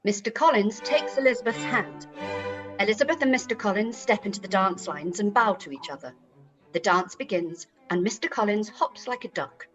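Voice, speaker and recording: A woman's voice: medium pitch, lively intonation, normal speed, fairly bright tone, lo-fi sound, faint music behind. A woman reading scripted scene narration for a period radio play. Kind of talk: scripted stage-direction narration.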